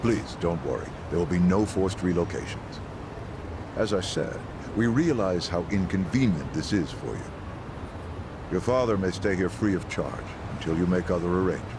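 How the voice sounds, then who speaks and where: An actor in an English game dub playing a courteous, composed official who speaks formally and reassuringly. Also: male voice, deep voice, lively intonation. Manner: measured, formal. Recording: noisy outdoor urban ambience.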